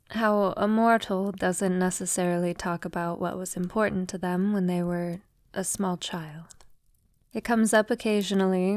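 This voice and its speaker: Woman acting as female vampire lover, centuries old, affectionate, refined, soft and breathy, unhurried, intimate ASMR roleplay, dry close sound. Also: mid-range voice, dry recording, lightly acted. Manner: soft, tender, unhurried, often breathy near-whisper; formal, elegant wording.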